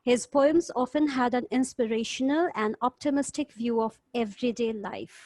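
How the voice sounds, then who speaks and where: A woman's voice: a little high, normal speed, darkish tone, dry recording. A woman chatting casually in an online group and reading out information. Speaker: poetry group member. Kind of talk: casual chat and reading out facts. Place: online video call.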